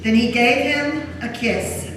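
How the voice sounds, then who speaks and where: Lady narrating a church gospel reading, solemn and measured, huge echo, far from mic. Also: fairly high pitch, fairly even intonation. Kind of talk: scripted dramatic narration. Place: large church during a service. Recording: very echoey church, distant mic, noisy.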